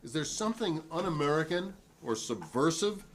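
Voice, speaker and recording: A man's voice: on the high side, big pitch swings. A man reading the part of a defiant, principled witness at a 1950s congressional hearing, speaking formally and emphatically in a dramatic reading. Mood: challenging. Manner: emphatic, formal, deliberate repetition.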